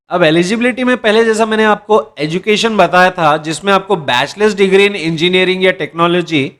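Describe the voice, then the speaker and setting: A man's voice: high voice, a bit bright. A man presenting a job recruitment update to viewers, addressing them directly and sometimes restarting as he reads out details. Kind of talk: explainer reading job details.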